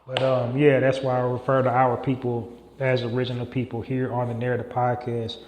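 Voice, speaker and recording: A man's voice: medium pitch, monotone, a little fast, darkish tone, a little hiss or hum. Echoey man musing on a podcast. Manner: rambling, many fillers and restarts. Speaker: podcast host. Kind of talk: casual solo monologue. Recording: echoey room with long reverb.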